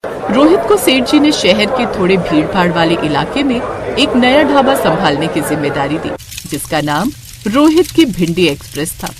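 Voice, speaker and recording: Expressive woman narrating a story. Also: mid-range voice, normal speed, over a noisy crowd, very noisy.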